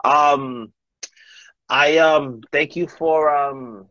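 A man hosting a casual podcast chat, informal and halting, often repeating his words, with a husky voice. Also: on the high side, fairly even intonation, pushed and bright, no room sound. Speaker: podcast host. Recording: muffled lo-fi dry audio.

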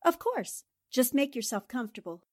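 A woman playing a bright, eager newspaper reporter. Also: high-pitched, sing-song, moderate pace, a little hiss or hum. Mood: gracious. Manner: polite, eager and inquisitive. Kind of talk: 1940s radio drama acting.

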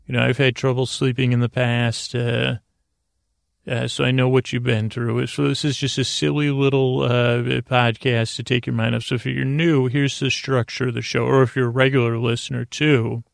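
A man with a heavily gravelly, creaky voice delivers an improvised bedtime podcast monologue. His pitch stays fairly even as he meanders, stumbling and repeating words. The recording is dry and close. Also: dry recording. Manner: deliberately slowed-down delivery. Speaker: podcast host.

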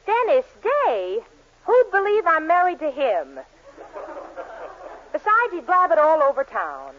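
Aggrieved wife, brisk, animated delivery, 1940s radio sitcom, dull lo-fi recording. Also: female voice, high-pitched, exaggerated voice. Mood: exasperated. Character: brisk, aggrieved married housewife, scheming reluctantly.

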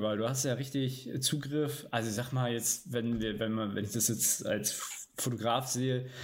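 A man's voice: mid-range voice, a little mellow. A man hosting a casual interview, asking questions in a hesitant way full of fillers. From Baden-Württemberg.

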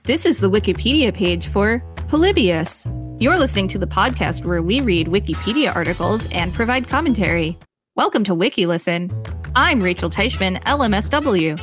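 A woman's voice: mid-range voice, animated, moderate pace, faint music behind. A woman co-hosting a podcast, reading an encyclopedia-style article aloud with light commentary. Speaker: podcast co-host.

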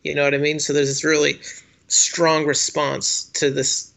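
Creaky, bright-voiced man in casual discussion. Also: on the high side, monotone, normal speed. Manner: colloquial, checks listeners follow. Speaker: podcast co-host.

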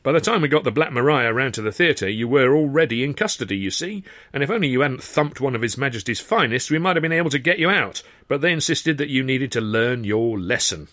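A man's voice: medium pitch, animated, fairly quick, hardly any pauses, fairly bright tone, dry recording, voice pushed up, natural voice. A man voicing a jovial, scheming theatre man who fusses over an injured colleague with false kindness. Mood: coaxing.

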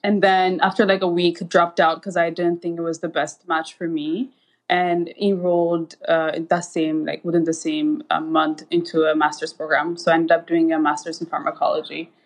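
A woman's voice: low-pitched, level tone, moderate pace, rough recording. A woman from Ethiopia, in her thirties, a guest recounting her path in a casual interview, talking with many fillers and restarts. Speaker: guest, anesthesiologist.

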